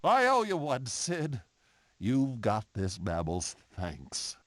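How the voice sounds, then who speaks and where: An actor playing a grateful, fretful prehistoric mammal father in an animated game. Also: medium pitch, slow, noisy background. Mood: grateful, fussy. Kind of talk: animated video game character acting.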